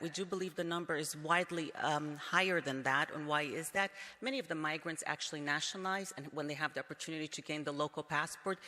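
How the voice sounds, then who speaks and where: A company executive, a man who grew up in Brazil, speaks at a conference in a measured, explanatory way, often pausing on "um." His voice is bright and a little higher than most men's. The hall around him leaves a long reverb on every word. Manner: measured, explanatory, frequent um fillers. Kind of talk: conference presentation.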